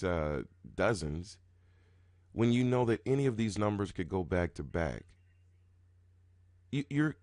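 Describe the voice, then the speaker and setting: A man with a very deep, groggy morning voice talks casually and rambles, looping back over his words and restarting often. His sound is dry and close, with no room around it, and his pitch and loudness jump up suddenly. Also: no echo. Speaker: roulette strategy video host. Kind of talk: casual talking-head explanation.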